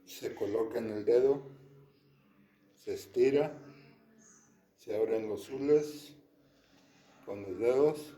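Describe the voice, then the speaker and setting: A Texas-born man in his fifties, casually explaining and demonstrating slingshot shooting to the camera outdoors, in a plain way with pauses and restarts. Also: mid-range voice, mellow. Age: 50s.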